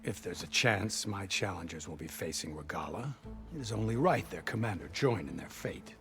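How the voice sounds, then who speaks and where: Deep, menacing proud commander. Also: male voice, expressive, normal speed, fairly bright tone, soft background music. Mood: cool menace. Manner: formal, coolly threatening. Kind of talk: video game cutscene acting.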